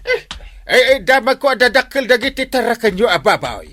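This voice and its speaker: Whiny malingering village captain, wildly swooping. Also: male voice, very high voice, big pitch swings, a little fast, bright, forceful tone, slight background noise, exaggerated voice. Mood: eager, cajoling. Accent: Ilocano. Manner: whiny, theatrical, stammering. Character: lazy village captain whining and feigning illness. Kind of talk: comic radio drama acting.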